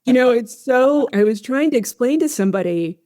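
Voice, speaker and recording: A woman's voice: a little high, animated, fairly quick. Chatty woman podcast host. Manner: chatty, warm, jokey. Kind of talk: casual interview talk.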